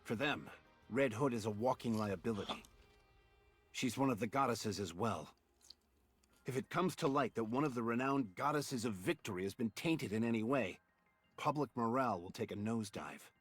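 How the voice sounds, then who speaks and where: Husky, weary military commander. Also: male voice, medium pitch, expressive, faint music behind. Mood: somber. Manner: measured, grave. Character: weary, idealistic male military commander, quietly determined. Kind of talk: scripted game voice acting.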